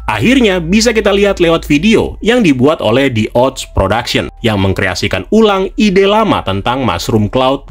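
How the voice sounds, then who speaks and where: A man, narrating a story casually and speaking directly to viewers. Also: mid-range voice. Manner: colloquial, chatty, addresses viewers directly. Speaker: video narrator. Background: background music.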